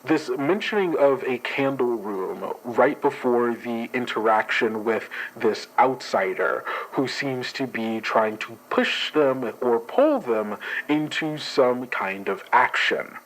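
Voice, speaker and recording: A man playing a tabletop game, narrating a dream in a slow, measured way and then analysing it. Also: medium pitch, animated, a bit bright, poor audio. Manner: slow, measured narration, turning casual and profane. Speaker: player. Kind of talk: actual play storytelling.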